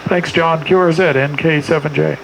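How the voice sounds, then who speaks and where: A man's voice: on the high side, fairly even intonation, moderate pace. A middle-aged man working an amateur radio contest, rattling off clipped exchanges and spelling in phonetics. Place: small home radio room indoors. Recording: clear background noise.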